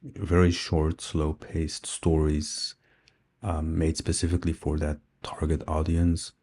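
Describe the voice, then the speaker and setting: A man's voice: rumbling low, level tone, takes it slowly, mellow. A man whose first language is Dutch, hosting his own audio journal and talking casually, thinking aloud as he goes. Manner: thinking aloud with fillers and restarts. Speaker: podcast host.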